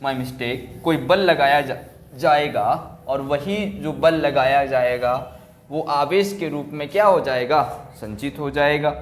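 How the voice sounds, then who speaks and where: Male physics teacher, higher-pitched voice, repetitive classroom dictation with check questions, roomy echo. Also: slight background noise. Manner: repeats key phrases and asks check questions. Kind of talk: classroom lecture at a board, explaining and dictating. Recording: echoey room.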